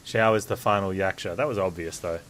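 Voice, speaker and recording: Low-voiced man casually streaming a game. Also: fairly even intonation, fairly quick, fairly bright tone, a little hiss or hum. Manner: rambling, offhand. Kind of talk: casual gameplay commentary.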